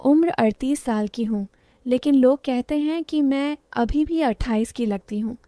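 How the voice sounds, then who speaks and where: A woman narrating a first-person story in a steady storytelling tone. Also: sits high, moderate pace, dry recording, clean studio sound.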